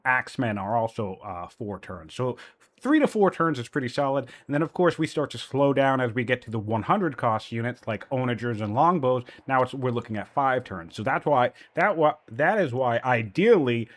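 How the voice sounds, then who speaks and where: A man's voice: mid-range voice, expressive, moderate pace. A man casting a strategy game tournament, working through numbers aloud with frequent "um" and "you know", still a little sniffly after a cold. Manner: analytical, thinking aloud with fillers. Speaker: tournament caster.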